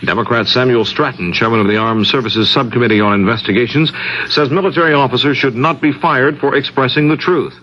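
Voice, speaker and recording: An American man reading a scripted radio news bulletin as the anchor. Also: lowish voice. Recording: muffled, noisy 1970s radio broadcast.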